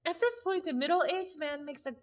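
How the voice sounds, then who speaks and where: A woman's voice: very high voice, normal speed, muffled recording. A woman reading a story aloud live with casual asides, often stumbling over and repeating words. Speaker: visual novel reader, streamer.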